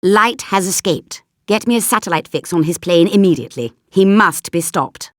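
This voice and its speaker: A woman's voice: fairly low pitch, animated, normal speed, dry recording, clean studio sound, close to an everyday voice. A woman playing a stern intelligence chief in a spy video game, giving clipped, authoritative orders.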